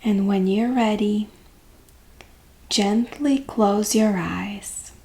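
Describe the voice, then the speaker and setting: A woman leading a guided meditation as a coach, speaking gently and calmly with long, unhurried pauses. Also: mid-range voice.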